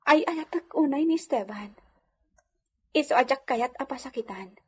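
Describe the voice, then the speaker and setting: A woman's voice: high-pitched, expressive, moderate pace, a little mellow. A woman acting a meek, devoted young wife in an Ilocano radio drama, with an Ilocano accent. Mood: hurt, gently pleading.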